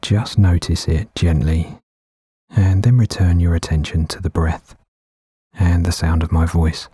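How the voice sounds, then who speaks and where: A man gently guiding a meditation in a soothing, unhurried way with long pauses between phrases. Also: low-pitched, fairly even intonation, slightly rough, no echo, clean studio sound. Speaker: meditation guide. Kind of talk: guided meditation narration. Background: background music.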